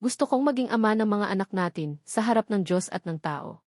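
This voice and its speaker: A woman's voice: medium pitch, lively intonation, a little fast, darkish tone, no room sound, clean studio sound, close to an everyday voice. A woman with a Filipino accent voicing an earnest, devoted man in love in a narrated romance story, tender and pleading.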